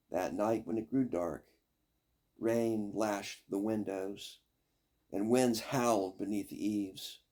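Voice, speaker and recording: An older man tells a fairy tale in a husky, creaky voice. He takes his time, phrasing each part deliberately and dramatically, with expressive pauses. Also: fairly low pitch. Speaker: storyteller. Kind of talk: expressive fairy-tale narration read aloud.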